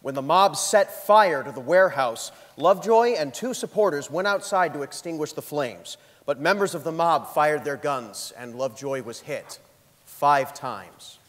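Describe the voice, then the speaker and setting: A man's voice: a little high, expressive, moderate pace, long reverb tail. A man reading scripted dramatic narration on a theatre stage.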